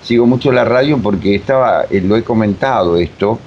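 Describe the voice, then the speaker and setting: A man in his seventies from Uruguay with a Rioplatense accent, reminiscing in a rambling, digressive interview style. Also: brisk. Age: 70s. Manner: rambling, digressive, many filler phrases. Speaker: interview guest, voice technique teacher. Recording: dull, rumbly, faintly noisy recording.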